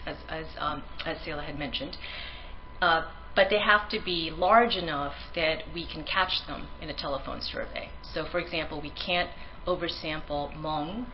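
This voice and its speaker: A woman's voice: low voice, muffled recording, a little hiss or hum. A woman researcher giving a conference presentation in an earnest manner, with frequent ums and restarts; her first language is Tagalog.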